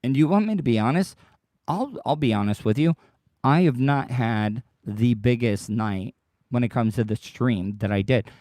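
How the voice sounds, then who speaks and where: Man, podcast host, mellow dark voice, dry close sound, tired and deeper than usual, rambling chat to listeners. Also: moderate pace, no room sound. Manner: rambling, repeats himself, talks straight to listeners. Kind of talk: casual solo livestream monologue.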